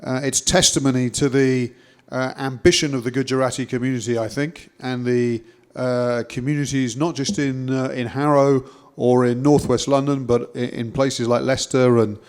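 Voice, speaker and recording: A man's voice: medium pitch, level tone, normal speed, a little mellow, clean tone. A man speaking hesitantly and measuredly, with many fillers. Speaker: interviewee.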